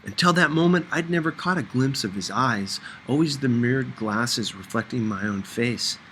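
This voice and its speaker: A man's voice: medium pitch, sharp tone, a little hiss or hum, low-quality recording. A man narrating a first-person novel as an audiobook reader, in a scripted storytelling style.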